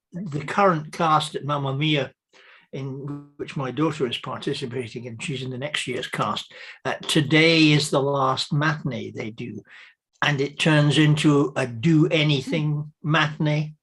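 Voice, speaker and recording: A woman's voice: deep voice, measured pace, slightly rough, rough recording. A middle-aged woman chatting casually on an online call, telling things in an amused, wry way. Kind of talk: casual chat, anecdote. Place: remote online group call.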